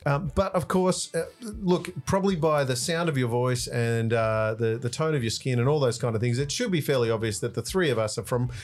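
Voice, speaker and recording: A man with a mid-pitched voice hosts a podcast conversation. He keeps the exchange relaxed and conversational and follows up with clarifying questions. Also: brisk, few pauses. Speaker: podcast host. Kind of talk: podcast interview.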